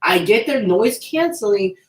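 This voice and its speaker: A brash, opinionated woman chats casually with a very lively, swinging pitch. She swears and talks in slang, sitting back from the microphone in an echoey room. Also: a little slow, distant mic. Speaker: podcast co-host. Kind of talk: casual chat. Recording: roomy, off the mic.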